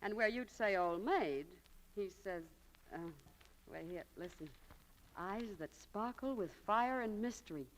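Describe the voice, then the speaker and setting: An American actress playing a proud, romantic, high-strung young shopgirl in a 1940s radio drama, with a dramatic, lofty manner. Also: lively intonation, measured pace, slight background noise, close to an everyday voice, rough recording. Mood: dreamy.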